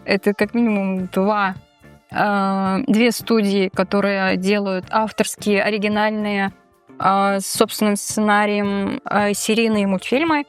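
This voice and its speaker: A woman co-hosting a show in casual, animated, joking conversation, speaking colloquially. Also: medium pitch, unhurried, clear, resonant tone, soft background music. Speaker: co-host of a Tatar language-learning show. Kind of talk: casual playful chat and quiz banter.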